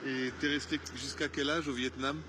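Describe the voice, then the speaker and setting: A man with a mid-range voice asks questions in a loose, friendly, chatty way, often repeating phrases for emphasis. He is outdoors on a city street, with clear traffic noise behind him. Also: mid-range voice, level tone, moderate pace. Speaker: interviewer. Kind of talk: casual interview.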